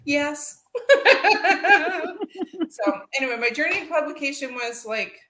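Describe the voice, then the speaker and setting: A woman's voice: high-pitched, lively intonation, slow pace, sharp tone. A young woman, an author and co-host, talking in an easygoing way, often laughing and saying "like". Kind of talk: casual book chat. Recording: echoey room.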